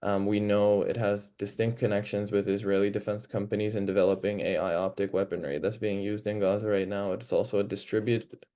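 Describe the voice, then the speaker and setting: Young man from Palestine, student organizer; low, mellow voice; earnest, halting delivery; online panel over a video call. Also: level tone. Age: young adult. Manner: earnest, halting with fillers and restarts.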